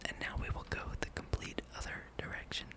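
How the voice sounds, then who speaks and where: Whispering ASMR gameplay streamer. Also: fairly quick. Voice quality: breathy unvoiced whisper. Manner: hushed, playful musing, reads game dialogue aloud.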